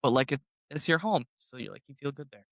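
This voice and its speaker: A man's voice: mid-range voice, expressive, fast, vocal fry, a bit bright, no echo, studio quality. A man co-hosting a casual book discussion, explaining things in loose, conversational language with some swearing. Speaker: podcast co-host.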